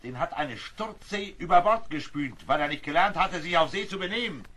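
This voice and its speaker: A gruff, menacing sailor in a seafaring radio play. The voice is husky and forceful, fairly high, with animated swings. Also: male voice, normal speed. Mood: threatening.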